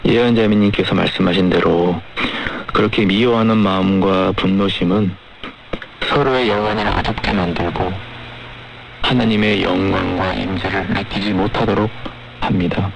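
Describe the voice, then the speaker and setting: Low, gravelly man on shortwave radio. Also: steady tone, moderate pace, music in the background. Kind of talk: measured radio discussion. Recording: faintly noisy shortwave radio broadcast.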